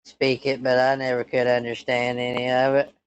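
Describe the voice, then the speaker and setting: Man, twenties, mid pitch, smooth clear voice, rambling, hesitant, easygoing phone chat. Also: completely flat delivery, normal speed, lo-fi sound. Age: 20s. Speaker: scambaiter posing as a son.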